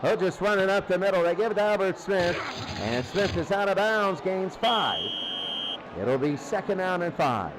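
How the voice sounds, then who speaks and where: A man's voice: high voice, very animated, moderate pace. A man doing informal live play-by-play football commentary, with muttered asides to himself. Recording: clearly noisy game broadcast.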